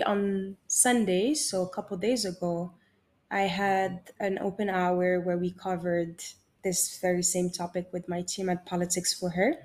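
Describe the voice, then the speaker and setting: A woman from Morocco hosting a solo podcast, giving upbeat, motivational career advice in short, emphatic phrases. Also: medium pitch. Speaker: podcast host and career coach.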